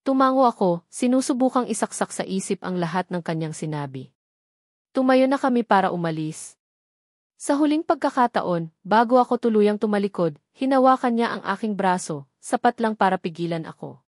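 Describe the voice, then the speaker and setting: Woman, Filipino accent, dramatic first-person storytelling, medium pitch, dry recording. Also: animated, normal speed, no room sound. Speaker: narrator.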